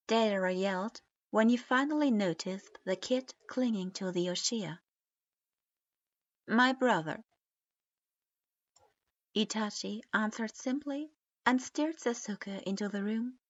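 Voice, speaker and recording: A woman reading a piece of fan fiction aloud in an even, unhurried narration. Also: mid-range voice, no echo. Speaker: reader. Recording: dull lo-fi recording.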